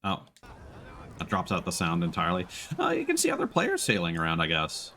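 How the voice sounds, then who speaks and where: A man's voice: low voice, huge pitch swings, brisk, vocal fry, pushed and bright, slight background noise. A man doing casual live game commentary, musing and thinking aloud as he plays. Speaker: game streamer. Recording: faint background game ambience. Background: game ambience.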